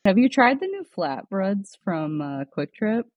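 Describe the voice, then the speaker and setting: A woman's voice: medium pitch, big pitch swings, a little slow, no echo, muffled recording. A woman co-hosting a casual chat podcast, laid-back and drawling, asking her co-host questions.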